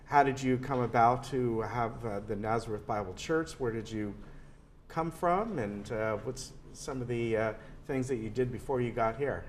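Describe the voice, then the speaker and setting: A man's voice: medium pitch, moderate pace, a little hiss or hum. A man interviewing guests on a community TV show, friendly and encouraging. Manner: friendly, encouraging with short backchannels.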